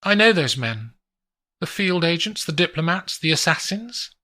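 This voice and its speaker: Man doing a young woman's voice, high and lifted, composed, soft foreign lilt, audiobook thriller. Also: big pitch swings, moderate pace. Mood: cool. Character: calm young woman, a cool former assassin. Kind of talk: audiobook character voice.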